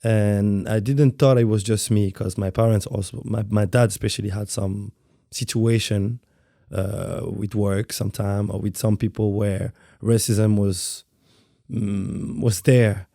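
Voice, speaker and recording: A man from France in his thirties, speaking English with a French accent. His low voice is gravelly and dark in tone, recorded dry and close. He talks softly and haltingly, often repeating words while he looks for the right phrase. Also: steady tone, no echo. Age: 30s. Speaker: guest dancer. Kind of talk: interview conversation.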